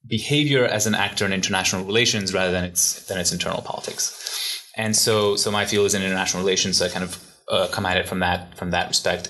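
A man's voice: fairly low pitch, a bit bright. A man from Hungary, a guest expert, answering interview questions in a thoughtful, conversational way with frequent restarts.

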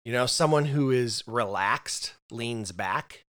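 A man hosts a podcast and steers the conversation. He explains his points steadily and at length, at an ordinary mid pitch. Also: fairly bright tone. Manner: leads the topic, measured explaining. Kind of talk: explanatory discussion.

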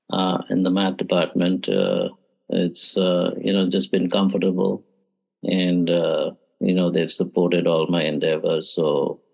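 A man's voice: sits low, vocal fry, dull audio. A middle-aged man from India, a math education professor, giving thoughtful interview answers with frequent "uh" and "you know".

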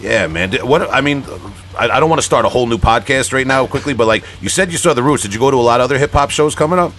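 A man's voice: mid-range voice, lively intonation, fast, a bit bright, a little hiss or hum. A man hosting a podcast, chatting casually in a slangy, rambling way. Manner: slangy, rambling, often says "man" and "you know". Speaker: podcast host. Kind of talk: casual chat interview.

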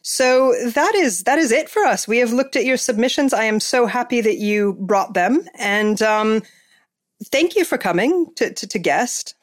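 A woman's voice: fairly high pitch, expressive, moderate pace. A woman hosting a podcast, chatting casually and enthusiastically, with lots of fillers and restarts. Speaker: podcast host. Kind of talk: casual chat.

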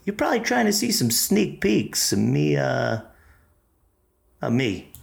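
An actor playing an irritable, nervous boyfriend who blusters and stammers in an in-character audio drama outro. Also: male voice, mid-range voice, measured pace, vocal fry, very noisy, exaggerated voice. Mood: flustered. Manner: stammering, excitable. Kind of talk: comic in-character podcast outro.

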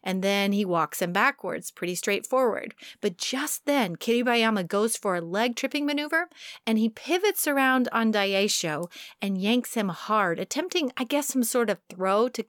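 A woman's voice: mid-range voice, animated, moderate pace, a bit bright, no room sound, clean studio sound. A woman co-hosting a sumo podcast, giving detailed, explanatory commentary on each bout.

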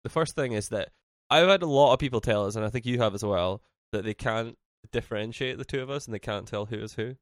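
A man's voice: mid-range voice, fast, few pauses, no room sound. A young man with a mild Scottish accent, chatting as a podcast co-host, blunt and dry, nasal from a cold. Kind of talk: casual chat.

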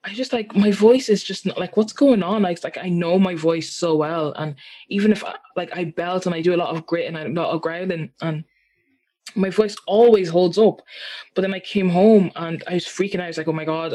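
A young man with a somewhat high voice chats freely about his singing life. He tells his story in an animated, rambling way, full of fillers. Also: fairly quick. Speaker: singer and guest. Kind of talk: casual chat.

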